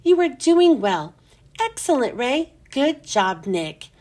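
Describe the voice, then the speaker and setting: A woman's voice: sits high, very animated, takes it slowly, darkish tone, exaggerated voice. A woman voicing a stern, falsely nice villainous female schoolteacher, commanding and coldly polite. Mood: coolly approving.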